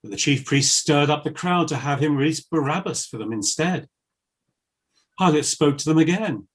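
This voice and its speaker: Husky male scripture narrator. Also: a little high. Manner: measured, formal. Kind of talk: solemn scripture reading.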